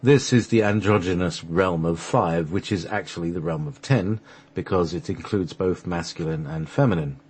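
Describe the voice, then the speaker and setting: A man narrating a sacred text for a radio play, halting at times as he reads from the script. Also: deep voice, a little mellow, slightly rough. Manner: halting, stumbles over the script and restarts.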